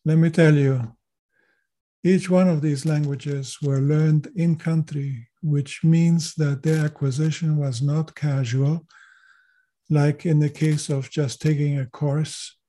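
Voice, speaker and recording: An older man raised in Chile, a native German speaker, telling his story in a measured, formal way as a podcast guest. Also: fairly high pitch, fairly even intonation, mellow. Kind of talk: podcast interview.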